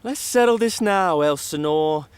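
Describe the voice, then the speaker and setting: A man's voice: a little high, animated, unhurried, background noise. A man acting a hot-headed young man spoiling for a fight in a radio drama, cursing and threatening. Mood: angry, threatening.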